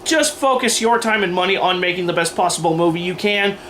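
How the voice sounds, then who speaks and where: A man's voice: high-pitched, fairly quick, a bit bright. A man hosting a video, delivering scripted opinion commentary in a sarcastic, emphatic way. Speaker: video host. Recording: noisy room recording.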